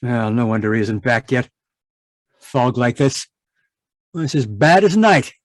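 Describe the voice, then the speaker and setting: A man's voice: medium pitch, lively intonation, unhurried, no room sound, lightly acted. Guy playing bossy cranky middle-aged husband, old radio play style, voice jumps up and down, dry sound. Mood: exasperated. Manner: contemptuous, blustering. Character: irritable, domineering middle-aged scientist husband. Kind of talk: old-time radio drama acting. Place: indoors, live video call performance.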